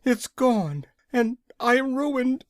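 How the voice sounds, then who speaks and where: A man's voice: very high-pitched, lively intonation, takes it slowly, dry recording, studio quality, voice pushed up, full character voice. Man putting on a blustering wicked gnome king. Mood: helpless dismay.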